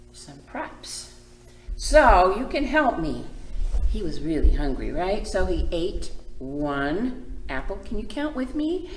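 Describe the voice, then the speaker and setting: Woman doing kids storytime, slow and very up and down, simple words, roomy echo. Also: fairly low pitch. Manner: expressive, simple phrasing for small children, drawn-out words. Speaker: children's librarian and storytime host. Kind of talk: children's storytime reading and teaching. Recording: echoey room.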